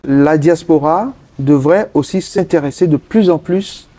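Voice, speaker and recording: Earnest man, mellow voice. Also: a little high. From Togo. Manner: earnest, pauses often mid-sentence to find words. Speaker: interview guest, politician. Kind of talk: earnest interview answers.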